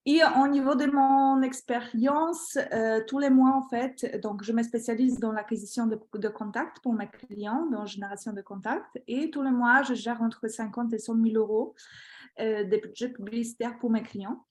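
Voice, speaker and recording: A woman's voice: fairly high pitch, steady tone, moderate pace, a little mellow. Polish-accented woman presenting a webinar. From Poland. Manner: hesitant, many fillers, laughs lightly. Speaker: guest expert presenter. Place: home. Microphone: video call.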